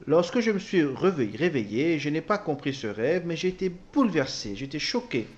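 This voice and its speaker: A man interpreting a personal testimony, speaking haltingly with repeated words and self-corrections. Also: fairly high pitch, sing-song, muffled recording. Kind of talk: spoken translation of a personal testimony.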